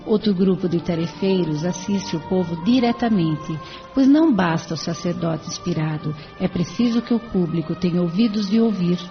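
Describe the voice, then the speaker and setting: A woman's voice: lowish voice, normal speed, lightly acted. A woman playing a devoted spirit helper in an audio novela, explaining in a formal, kindly, didactic way. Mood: devoted, instructive. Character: serene, devoted female spirit helper explaining her charity. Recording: dull sound with faint music.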